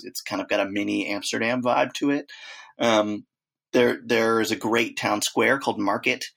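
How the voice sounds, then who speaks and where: A man's voice: on the low side, creaky, fairly bright tone, slightly rough. A man co-hosting a casual chat, telling rambling travel stories with lots of fillers and acted-out quotes. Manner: rambling storyteller, acts out quotes, many fillers.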